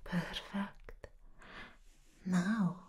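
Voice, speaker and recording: A woman playing a seductive, sinister plant villainess in a breathy whisper, as soft-spoken ASMR roleplay, slow and deliberate. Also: fairly low pitch, steady tone, full character voice. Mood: commanding, menacing.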